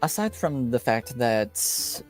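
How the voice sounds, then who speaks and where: A man voicing a stern, formal university dean with a measured, authoritative manner in a tabletop game. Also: mid-range voice, sing-song, faint music behind. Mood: skeptical, stern.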